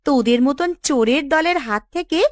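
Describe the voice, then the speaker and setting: A woman's voice: high voice, normal speed, dry recording, studio quality, lightly acted. An actress playing a bold, bossy village woman in a cartoon, assertive and scolding. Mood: scheming, stern. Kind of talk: Bengali cartoon dialogue.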